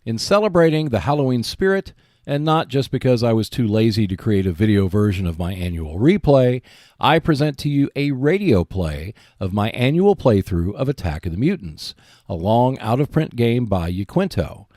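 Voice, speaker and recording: A man's voice: medium pitch, very animated, moderate pace, darkish tone, no echo, clean studio sound. A man hosting a podcast, reading scripted narration.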